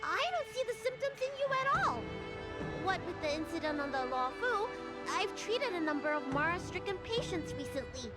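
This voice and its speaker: An earnest young girl doctor from a video game. The voice is high and swings animatedly, with precise, bookish phrasing, over background music. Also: very animated, moderate pace. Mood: puzzled, concerned. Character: young girl healer, earnest, chatty and professional. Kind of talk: English video game voice acting.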